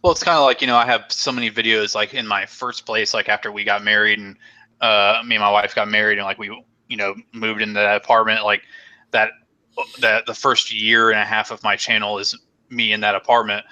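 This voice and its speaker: A man's voice: lowish voice, flat delivery, a little fast, pushed and bright, muffled recording. A young man hosting a casual live stream chat, rambling and joking with many fillers while losing his voice. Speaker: host.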